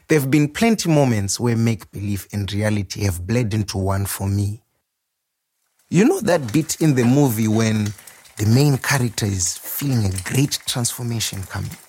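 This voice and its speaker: An actor playing a warm, proud, playful nurse turned chef in an expressive audio monologue. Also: male voice, on the low side, moderate pace, breathy edge. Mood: reflective. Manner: expressive, reflective, wryly humorous. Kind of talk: dramatic first-person monologue.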